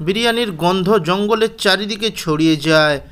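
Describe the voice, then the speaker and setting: A man's voice: sits high, a little fast, a bit bright, clear, resonant tone. A man narrating a scripted children's cartoon story as its storyteller.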